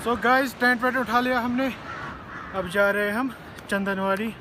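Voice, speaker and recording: A man's voice: very high-pitched, steady tone, normal speed, loud music behind the voice. A man, chatting casually as a travel vlogger and narrating what goes on around him. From Kashmir, India. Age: 20s. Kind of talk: casual travel vlog chat. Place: outdoors at riverside campsites, in a car. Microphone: phone at arm's length. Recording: very noisy outdoor phone recording. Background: loud outdoor ambience.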